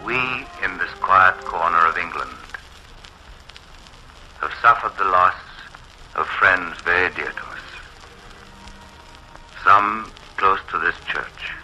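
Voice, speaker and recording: Piercing, solemn wartime vicar. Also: male voice, sits low, sharp tone, faint music behind, exaggerated voice. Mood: grave, mournful. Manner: measured, declamatory, rising to fervour. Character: solemn, resolute male English village vicar preaching. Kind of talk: solemn 1940s dramatic oratory. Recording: muffled, hissy 1940s radio recording.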